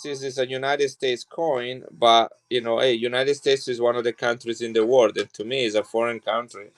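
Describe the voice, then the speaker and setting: Chatty man selling coins. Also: medium pitch. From Italy. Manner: chatty and rambling, with frequent restarts. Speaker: coin seller on a live stream. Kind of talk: casual live sales chat.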